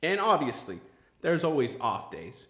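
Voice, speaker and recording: A man's voice: fairly high pitch, very animated, a little slow, fairly bright tone, echoey room. A man hosting a casual podcast chat, talking earnestly with lots of fillers and restarts. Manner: rambling, filler-heavy, earnest. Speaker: podcast host.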